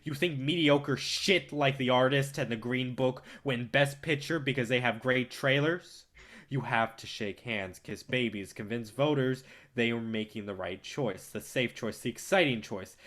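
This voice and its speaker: A man acts out a cynical film distributor in a staged play reading. He is domineering and smug, lecturing in a condescending, bullying way, with a mid-pitched male voice. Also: a bit bright, close to an everyday voice. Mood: angry, contemptuous. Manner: condescending, rapid-fire lecturing.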